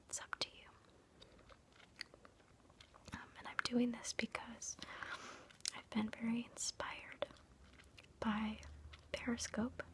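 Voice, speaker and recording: A woman speaks in a breathy whisper with very little rise and fall. Her delivery is gentle, casual and reassuring, like an ASMR host easing listeners into a guided meditation, recorded on a phone. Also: on the high side.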